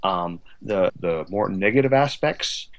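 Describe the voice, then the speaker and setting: Low-voiced man, halting and discursive. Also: lively intonation. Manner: halting, thinking aloud, discursive. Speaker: guest writer of a radio drama. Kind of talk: conversational interview.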